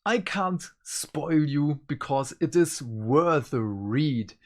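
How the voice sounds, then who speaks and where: A host giving a casual review to viewers, speaking in an emphatic, deliberate way. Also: medium pitch, expressive. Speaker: book reviewer and channel host.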